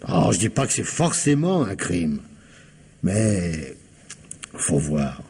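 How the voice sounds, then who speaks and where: A man's voice: deep voice, big pitch swings, slow, darkish tone, husky, exaggerated voice. A man acting as a patient, doggedly suspicious police investigator in a French radio mystery, speaking conversationally and insistently. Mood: pensive, suspicious. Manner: conversational, questioning, hesitant and insistent.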